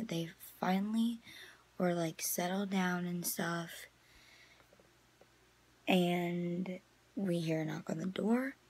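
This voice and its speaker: A young woman chatting casually to her vlog in a halting way, often padding her sentences with "like", recorded on a phone at arm's length in a small room. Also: lowish voice, gravelly, slightly rough. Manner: halting, filler-heavy storytelling with frequent "like". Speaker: vlogger. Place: small indoor rooms, camper and bedroom. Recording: noisy handheld phone audio.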